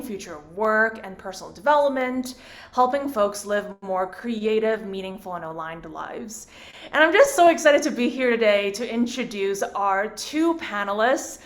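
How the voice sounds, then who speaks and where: A woman from Hong Kong is moderating a virtual panel discussion. She sounds upbeat and chatty, and her voice sits at an average pitch.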